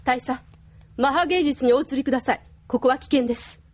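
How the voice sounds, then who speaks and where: A woman's voice: high-pitched, brisk. An actress playing a strict, formal female military aide in an anime audio drama. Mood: urgent, formal. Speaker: voice actor.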